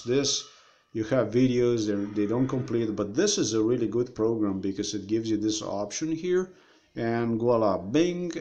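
A man in his thirties talks to his webcam from an indoor room. He rambles and circles back on himself, pointing viewers at things on his screen. His voice sits at an ordinary pitch for a man. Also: a little mellow. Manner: meandering, repeats himself, addresses viewers directly. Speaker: vlogger. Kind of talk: rambling screen-share commentary.

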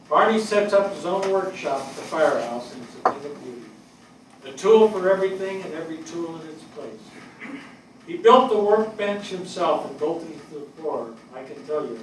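An older man acting an earnest fire captain in a stage reading, delivering a measured eulogy for a fallen friend. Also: fairly high pitch, lightly acted. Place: indoor theatre stage. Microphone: distant camera. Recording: echoey stage, distant mic, faint noise.

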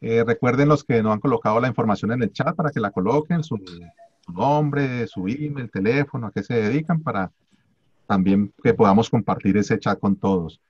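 Male meeting host, husky and low, dull dry video call sound. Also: moderate pace, darkish tone, no echo. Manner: calls on participants one by one. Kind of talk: casual meeting moderation.

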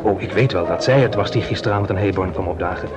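A man playing a polite, hesitant, apologetic gentleman in a vintage Dutch radio drama. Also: deep voice, fast, close to an everyday voice. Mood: disbelieving. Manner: hesitant, repeats words. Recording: muffled old radio recording, music behind.

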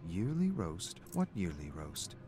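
A man's voice: deep voice, wildly swooping pitch, slow, background noise, exaggerated voice. A man voicing an anxious, bewildered male office employee, unsure and incredulous, in a game story.

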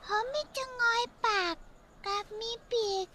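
A woman's voice: takes it slowly, sharp tone, a little hiss or hum. A woman voicing a timid young male duckling in a cartoon, meek and whiny.

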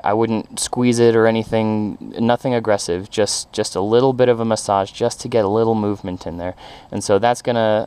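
A man with a low, smooth and resonant voice talks through a how-to in a relaxed, halting way, stopping often for ums and restarts. He is outdoors in a backyard, recorded on a head-mounted action camera. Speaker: backyard poultry keeper. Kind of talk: casual how-to explanation.

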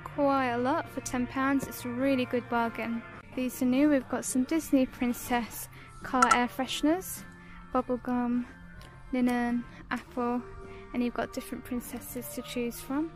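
A British woman with a high voice talks through products for a casual shopping vlog. She moves slowly from item to item in short, simple descriptions, with music playing behind her. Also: little pitch movement. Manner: short descriptive phrases, listing items. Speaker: shopping vlogger. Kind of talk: casual shop-with-me product showcase narration.

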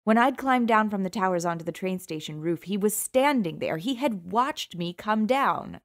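A woman's voice: mid-range voice, lively intonation, moderate pace. A woman narrating a first-person audiobook in a wry, sultry storytelling manner.

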